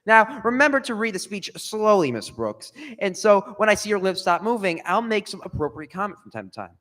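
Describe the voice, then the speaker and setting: A man acting as a pompous, half-deaf male principal in an old-time radio comedy. His high voice swoops about as he sputters and stammers, ringing through an echoey hall. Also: big pitch swings, brisk, fairly bright tone. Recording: echoey hall with long reverb.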